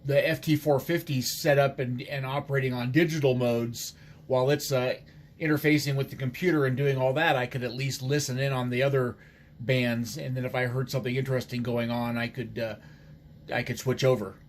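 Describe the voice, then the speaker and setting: A man speaking as an amateur radio operator in casual conversation, in a halting, hesitant way. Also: medium pitch, steady tone, slight background noise.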